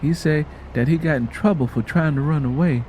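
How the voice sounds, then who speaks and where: A man's voice: a little high, a little fast, dark tone, slight background noise, close to an everyday voice. A man voicing a sorrowful mother who recounts hard family history in a plainspoken, reflective, folksy way. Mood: somber.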